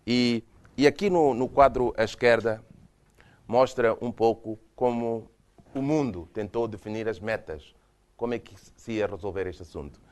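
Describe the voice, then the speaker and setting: A man's voice: on the low side, normal speed, darkish tone. A man from Mozambique with a Mozambican Portuguese accent, giving a university lecture with slides and speaking hesitantly, with frequent restarts. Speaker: guest lecturer. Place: lecture hall.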